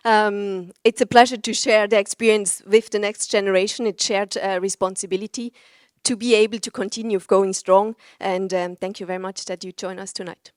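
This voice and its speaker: A woman speaks earnestly at a press event. Her voice is smooth and clear, recorded close and dry. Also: mid-range voice, fairly even intonation, moderate pace, no echo. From Switzerland. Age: 30s. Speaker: racing driver.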